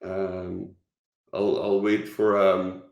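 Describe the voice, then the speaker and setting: Dutch man with a halting, echoey talk. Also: low voice, monotone, vocal fry. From Netherlands. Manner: halting, many fillers and restarts. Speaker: conference speaker. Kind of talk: online conference talk.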